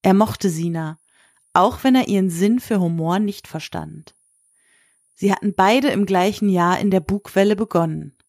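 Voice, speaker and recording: A woman narrating a scripted story in a measured, literary reading style. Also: mid-range voice, darkish tone, no room sound, studio quality.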